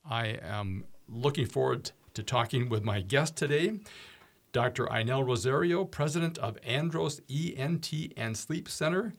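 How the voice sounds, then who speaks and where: A man with a gravelly, creaky voice hosts a radio talk show from a dry studio. He reads his introductions and phone numbers in a measured, announcer-like way. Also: mid-range voice, no room sound. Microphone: studio microphone.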